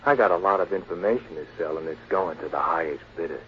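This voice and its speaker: An actor playing a sly, greedy private detective, cagey and drawling, in a 1940s radio drama. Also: male voice, low-pitched, quick pace, close to an everyday voice. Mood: cagey, bargaining. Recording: muffled, noisy 1940s radio recording.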